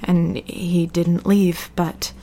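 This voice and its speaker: A woman's voice: lowish voice, little pitch movement, drawn-out words, dark tone, heavily acted. A woman playing an anguished, defiant teenage girl in love, reading her diary in a dramatic monologue. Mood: bitter, pained.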